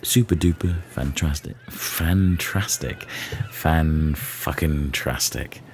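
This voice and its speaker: A man's voice: rumbling low, slow pace, slight background noise, heavily acted. A man playing a pompous, drawling older castaway who talks down to the others. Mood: patronising. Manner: grandiose, drawling, patronising. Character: pompous very deep-voiced older castaway leader. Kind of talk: amateur comedy audio drama.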